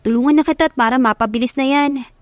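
A woman's voice: sits high, very fast, dry recording, pitched above the performer's own voice, natural voice. A woman voicing a teasing, chatty young best friend with a playful, slangy, bantering manner in an audio love story.

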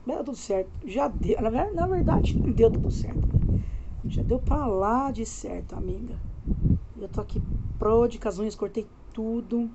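A woman's voice: on the high side, expressive, normal speed, dull audio, heavy background noise. Chatty Brazilian woman vlogging from bed. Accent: Brazilian Portuguese, Minas Gerais. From Minas Gerais, Brazil. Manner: chatty, loose, addresses viewers as galera. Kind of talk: casual home vlog chat. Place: home bedroom, lying in bed. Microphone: phone camera held close.

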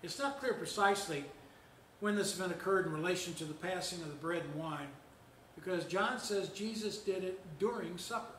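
An older man, a pastor, gives a seated sermon in a measured, storytelling way with gentle humor. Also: sits high, a little hiss or hum. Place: church lounge with fireplace, indoors. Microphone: far camera. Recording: echoey room, voice heard from a distance.

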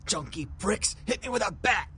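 Pained, beaten gangster, high-strung. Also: male voice, animated, normal speed, bright, forceful tone, slight background noise. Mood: bitter, pained. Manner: groaning, pained, gritty streetwise talk. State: in pain, straining. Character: badly beaten, profane gangster ghoul, nearly sixty, bitter and pleading. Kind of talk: video game voice acting.

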